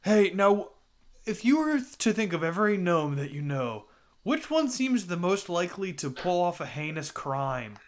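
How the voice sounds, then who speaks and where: Playful higher-voiced man playing a game. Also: sing-song, moderate pace. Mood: blustering. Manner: playful, goofy, in-character bluster. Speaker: player. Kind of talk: casual tabletop game chat.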